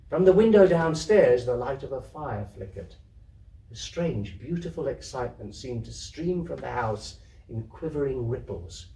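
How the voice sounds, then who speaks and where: A man giving an expressive live reading of a literary story indoors. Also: mid-range voice. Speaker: theatre troupe reader. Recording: roomy echo, distant microphone.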